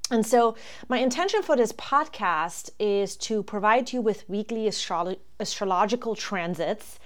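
A woman in her forties from Germany, hosting a solo astrology podcast and explaining things calmly, with frequent ums. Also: mid-range voice, normal speed.

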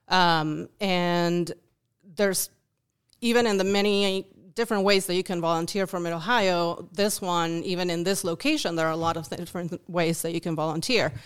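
A woman from Uruguay speaks English with a Uruguayan Spanish accent, her first language being Spanish. Her voice sits fairly low and comes through very clean and smooth. She talks thoughtfully, pausing on ums and restarting sentences. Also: steady tone. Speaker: guest, food bank volunteer. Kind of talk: podcast interview.

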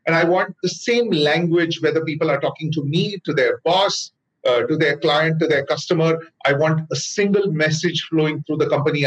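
A middle-aged man with an Indian accent speaks in a fairly high voice. He answers interview questions thoughtfully and steadily, often pausing on "uh" as he gathers his points. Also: poor audio. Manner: thoughtful, with frequent "uh" pauses. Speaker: business leader guest.

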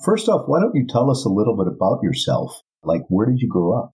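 A man's voice: on the low side, very animated, brisk, dark tone, breathy edge. A man hosting a medical podcast, asking his guest questions in a friendly, encouraging way. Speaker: podcast host, doctor. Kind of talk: interview hosting.

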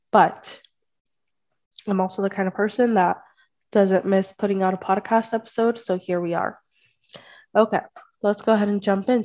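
A woman's voice: medium pitch, darkish tone. A woman hosting her own podcast, talking casually while stuffy and congested from a cold, often checking in with "right?" and "okay?". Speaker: podcast host and spiritual life coach. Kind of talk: casual solo podcast talk. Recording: dull lo-fi sound.

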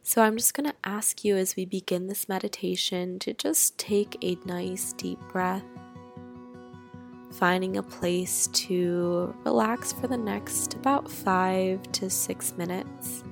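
Woman doing guided meditation, gentle and soothing, lots of vocal fry, guitar music playing behind her. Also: mid-range voice. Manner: soothing, gentle guiding delivery with drawn-out phrases and pauses.

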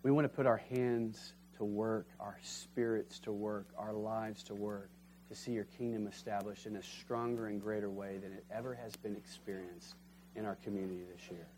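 A man preaching a sermon as pastor and leading a closing prayer, fervent, swinging from emphatic peaks to hushed prayer. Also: on the low side, a little hiss or hum, low-quality recording. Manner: fervent, rising to emphatic peaks then dropping to quiet prayer. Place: church sanctuary.

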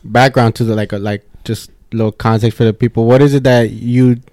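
Loose, halting male podcast co-host. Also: mid-range voice, steady tone, a little mellow, no echo, clean studio sound. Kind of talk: casual podcast chat.

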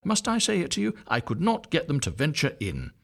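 A man voicing an old visiting duck who gives advice slowly and knowingly. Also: on the high side, huge pitch swings, vocal fry, fairly bright tone, pitched above the performer's own voice, heavily acted. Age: older. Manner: slow, knowing, drawn-out.

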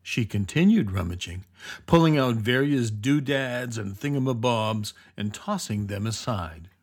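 A man narrating a scripted children's adventure story in a measured, dramatic storytelling manner. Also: mid-range voice, lively intonation. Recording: narration over clear background music.

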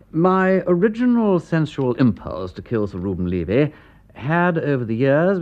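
A male actor as a breezy aristocratic English detective, clever and wry, drawling his old-fashioned slang with very lively intonation. It is classic British radio drama, with a dull, narrow sound. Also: medium pitch, natural voice. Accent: upper-class English.

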